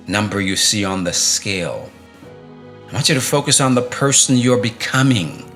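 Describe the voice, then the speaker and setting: A man's voice: on the low side, bright, forceful tone. A middle-aged man coaching viewers on fitness and mindset in an emphatic motivational talk, repeating key phrases and asking rhetorical questions.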